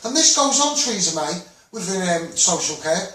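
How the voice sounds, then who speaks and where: A man's voice: high-pitched, big pitch swings, moderate pace, sharp tone. A man with a Scouse accent, a campaigner giving a blunt, rambling, impassioned monologue to online viewers, often repeating himself. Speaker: children's rights campaigner. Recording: very echoey room, far from mic.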